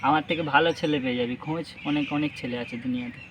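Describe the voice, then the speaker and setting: A young man talks very fast in a fairly high voice. He sounds teasing and insistent, as in a casual call with a friend. There is some noise behind him, with birds squawking. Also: fairly even intonation. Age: young adult. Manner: teasing, coaxing, insistent questioning. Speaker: vlogger making a prank phone call. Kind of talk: casual chat on a phone call. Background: parrot squawks and ambient noise.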